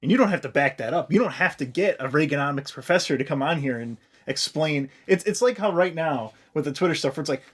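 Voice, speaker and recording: An American man co-hosting a comedy podcast, explaining history in casual, profane, animated chat. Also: a little high, fast talker, few pauses. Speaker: podcast co-host.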